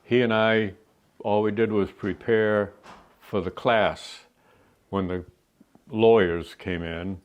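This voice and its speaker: An older man from New Hampshire with a New England accent, an Army veteran rambling through his life story with many pauses and fillers. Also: on the low side, steady tone, clear, resonant tone. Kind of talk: interview. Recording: dull lo-fi sound.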